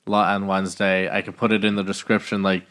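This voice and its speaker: A man's voice: low-pitched, little pitch movement, fast, a bit bright, clean tone. A man co-hosting a podcast in casual, informal chat. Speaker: podcast co-host.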